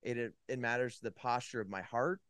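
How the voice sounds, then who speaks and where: A man's voice: medium pitch, steady tone, fairly quick, a bit bright, clear, resonant tone, dry recording, studio quality. A man from California, a podcast guest, telling his faith story in a casual, rambling way with many "likes" and restarts. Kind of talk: casual personal testimony.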